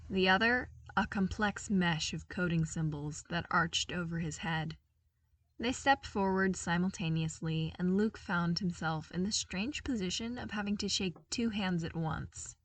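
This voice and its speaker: A woman's voice: fairly low pitch, measured pace, a bit bright, no echo, far from the mic. A woman reading a scripted story aloud as an audiobook-style narrator. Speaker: podfic narrator.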